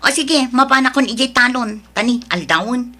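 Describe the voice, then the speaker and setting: A woman's voice: on the high side, moderate pace, sharp tone. A woman voicing an overworked young wife who complains sharply about her husband. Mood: exasperated.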